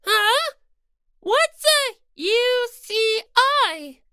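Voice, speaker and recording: Casting: naive little female rabbit mascot, very high squeaky voice, bright, whiny and protesting, audiobook. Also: very animated, very slow, no echo, studio quality, full character voice. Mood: indignant. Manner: squeaky, plaintive, easily hurt, protesting. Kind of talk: audiobook character voice.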